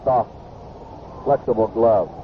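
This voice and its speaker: A man's voice: lowish voice, slow pace. Tinny vintage radio baseball announcer, American man. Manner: short clipped phrases following the action. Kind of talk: live radio baseball play-by-play. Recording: noisy, tinny 1970s AM radio broadcast.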